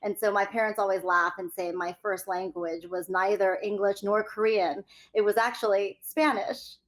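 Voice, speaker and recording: A woman's voice: medium pitch, fairly even intonation, normal speed. A woman from California leading an interview conversation, speaking in a polished, articulate way. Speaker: host.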